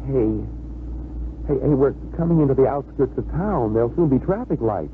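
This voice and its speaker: An actor playing a suave, stubborn, teasing young fiancé in a wry, self-assured way, in 1950s radio drama style. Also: male voice, medium pitch, expressive, moderate pace, natural voice. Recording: tinny, noisy old radio broadcast.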